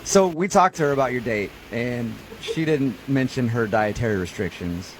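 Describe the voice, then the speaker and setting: A man's voice: medium pitch, expressive, moderate pace, slight background noise, rough recording. A man hosting a radio show, chatting casually and probing with questions in an amused way. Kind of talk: casual phone prank-call radio chat.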